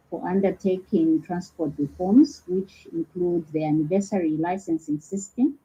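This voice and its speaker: A woman's voice: lowish voice, warm tone, slight background noise. A woman reading out a formal prepared statement as an agency spokesperson, on a muffled recording. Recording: muffled, dull lo-fi clip.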